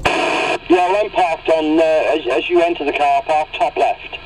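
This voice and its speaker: A man's voice: a little high, measured pace. A man talking over CB radio, trading signal reports in casual radio jargon. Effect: CB radio transmission. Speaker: CB radio operators calling in. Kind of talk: informal CB radio chat with procedural jargon. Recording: very noisy, distant CB radio reception. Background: loud radio noise.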